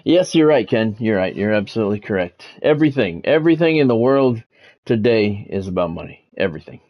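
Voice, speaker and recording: A man's voice: on the low side, expressive, fairly quick, muffled recording. A middle-aged man hosting a livestream, mixing casual chat with announcer-like football play-by-play commentary. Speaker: livestream host, game commentator.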